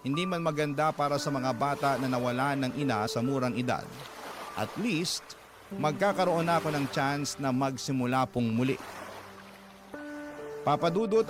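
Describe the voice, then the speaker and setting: A man playing a weary, long-suffering father, narrating his story earnestly in measured, scripted radio drama style. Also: mid-range voice, lightly acted. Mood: reflective, regretful. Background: background music.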